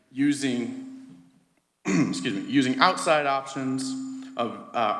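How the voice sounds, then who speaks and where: A man with a mid-pitched voice testifies before a committee. He reads prepared remarks in a formal register and trips over a word now and then. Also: fairly bright tone, poor audio. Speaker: policy advocate testifying.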